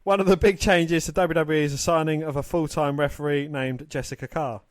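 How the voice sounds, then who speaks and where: A man co-hosting a casual sports podcast, talking in a halting way and stumbling over his words. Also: fairly high pitch, steady tone, no room sound. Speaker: podcast co-host.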